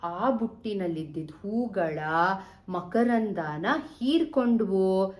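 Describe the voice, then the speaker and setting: A woman storyteller voicing a wise, calm king who explains things patiently. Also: mid-range voice, slow pace. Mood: calm explaining. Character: wise, calm male king solving riddles.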